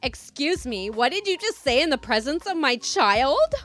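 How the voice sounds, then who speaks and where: Woman putting on an indignant, high-pitched entitled mother. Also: big pitch swings, fairly bright tone, soft background music. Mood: scolding. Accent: mixed put-on American Midwest and Brooklyn. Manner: drawn-out, exaggerated, self-righteous.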